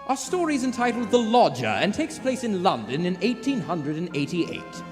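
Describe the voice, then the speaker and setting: A man's voice: sits high, very animated, normal speed. A man playing an ominous 1940s radio suspense host with a theatrical, dramatic delivery. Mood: grave, wry. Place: theater stage before live audience. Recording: echoey theater hall, music behind.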